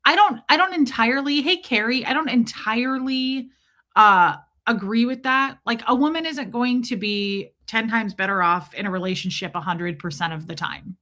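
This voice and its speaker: A woman's voice: normal speed, sharp tone. A woman with an American Midwestern accent chatting candidly and casually with viewers as a livestream host. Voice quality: voice deeper than usual from lack of sleep.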